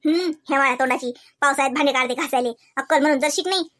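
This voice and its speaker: A woman plays a grumbling old village mother-in-law for a comedy cartoon. The voice is high and races along in a rural Varhadi Marathi accent. The manner is scolding and full of colloquial complaint. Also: racing, fairly bright tone. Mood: scornful grumbling.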